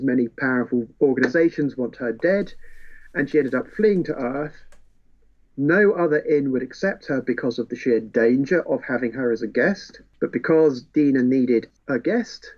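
A man in a casual book discussion, telling the story with wry relish. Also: medium pitch. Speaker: podcast co-host. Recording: dull, muffled lo-fi audio.

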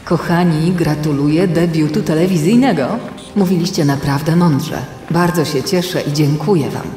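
A woman voices a kindly female mentor to young heroes in a radio play. Her voice is low and soft-toned, washed in long echoing reverb. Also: normal speed, soft background music, close to an everyday voice. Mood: warm, encouraging. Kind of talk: children's radio play acting.

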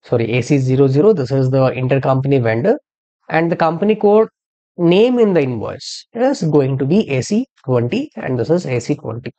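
A software instructor narrating a step-by-step tutorial in an explanatory way, posing questions and then answering them. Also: medium pitch, expressive, fairly quick, muffled recording. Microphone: screen-recording voice-over.

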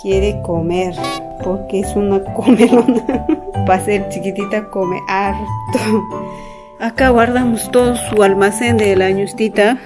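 A woman's voice: mid-range voice, fairly even intonation, a little slow. Peruvian woman vlogger, music behind. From Peru. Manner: affectionate, uses many diminutives. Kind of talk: casual family vlog chat. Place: indoors at home.

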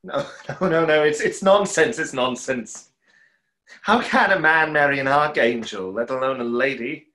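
Tormented young conjurer for a stage play, played by a man: highish, forceful, emphatic, bitter and lovestruck. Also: moderate pace. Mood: anguished. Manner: theatrical, emphatic delivery. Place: small room at home, video call.

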